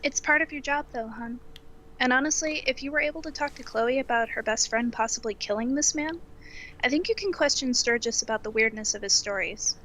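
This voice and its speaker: A frank, affectionate girlfriend in an audio drama, played by a woman, with a high voice over faint background noise. She talks casually, supportive yet blunt and teasing. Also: brisk, fairly bright tone, lightly acted, low-quality recording. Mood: frank, encouraging. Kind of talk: naturalistic audio drama dialogue.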